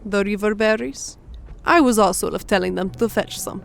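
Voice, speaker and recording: A woman's voice: mid-range voice, normal speed, vocal fry, faint music behind, natural voice. Woman playing an eager creature girl with broken grammar. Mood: eager to please. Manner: broken, oddly phrased foreign-sounding grammar. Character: young female clawed, tailed creature, chiding and eager. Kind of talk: audiobook character acting.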